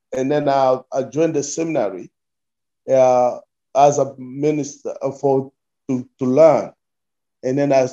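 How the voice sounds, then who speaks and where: A man in his fifties with a Congolese accent, a pastor answering interview questions haltingly and repeating his phrases as he goes. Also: mid-range voice, warm tone, muffled recording. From Democratic Republic of Congo. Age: 50s. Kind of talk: interview answers, recounting life story.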